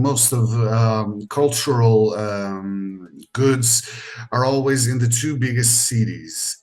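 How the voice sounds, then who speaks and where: A middle-aged man from Portugal speaking as an interview guest, measured and pausing often with fillers, over a video call. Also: mid-range voice, darkish tone. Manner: measured, with frequent pauses and fillers. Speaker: university educator, interview guest. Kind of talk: conversational interview.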